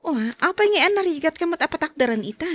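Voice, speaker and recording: A woman with an Ilocano accent acting a firm, brisk caregiver who is pushy but caring, in a radio drama. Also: extremely high pitch, lively intonation, a bit bright, no room sound. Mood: prodding.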